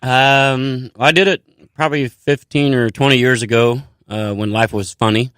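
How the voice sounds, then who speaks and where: A man's voice: medium pitch, steady tone, normal speed, fairly bright tone, clean tone, dry recording. Laid-back, self-deprecating male comic. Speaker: comedian guest. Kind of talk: casual radio interview. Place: radio studio. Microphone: studio mic.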